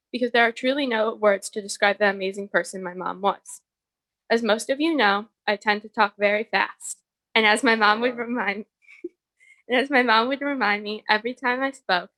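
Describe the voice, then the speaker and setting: Young woman reading a personal eulogy, bright clear-cut voice, dry close sound. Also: mid-range voice, a little fast, dry recording, low-quality recording. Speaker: daughter giving a eulogy.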